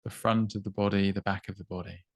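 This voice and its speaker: A man guiding a body scan meditation in an unhurried, gently instructive way, with long pauses between short phrases. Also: lowish voice, little pitch movement, no room sound. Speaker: meditation guide.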